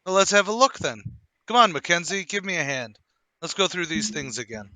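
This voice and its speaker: A man plays a vengeful gentleman in a mystery radio play. The voice is bright and sharp, close and dry, with an accusatory, impatient push behind it. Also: high-pitched, sing-song, fairly quick, no echo, pitched above the performer's own voice, exaggerated voice, rough recording. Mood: brisk. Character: bitter, impatient gentleman demanding vengeance for his murdered brother.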